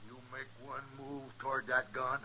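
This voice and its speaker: A man's voice: medium pitch, monotone, normal speed, bright, forceful tone. An American actor playing a menacing, bragging drifter gunman with a rustic drawl in an old radio western. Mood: threatening. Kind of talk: 1950s radio western acting. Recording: noisy old radio recording.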